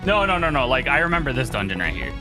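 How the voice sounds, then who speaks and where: A man's voice: medium pitch, sing-song, quick pace. A man chatting casually as a co-commentator on a gaming livestream. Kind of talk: casual chat. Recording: game music behind the voice. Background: video game music.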